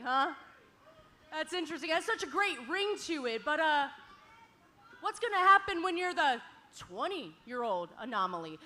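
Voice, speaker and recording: A woman's voice: sits high, sharp tone. A woman hosting a talk segment at a wrestling show in an indoor arena, sarcastic and needling toward her guest.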